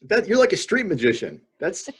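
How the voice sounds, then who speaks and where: A middle-aged man with a husky voice, co-hosting a podcast with casual, wry banter. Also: high voice, wildly swooping pitch, moderate pace. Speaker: podcast co-host. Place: remote group video call.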